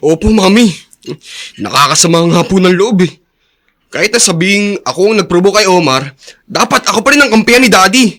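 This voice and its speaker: A man's voice: sits high, expressive, moderate pace, long pauses, fairly bright tone. A man acting a hot-headed, spoiled, whining bully of a son with a Filipino accent in a radio drama. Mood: sulky complaining. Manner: defiant, whining.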